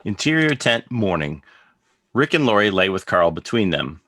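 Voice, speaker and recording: Deep, crisp-toned male narrator, very dry. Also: very animated, moderate pace, a bit bright, dry recording. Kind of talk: scripted narration of stage directions.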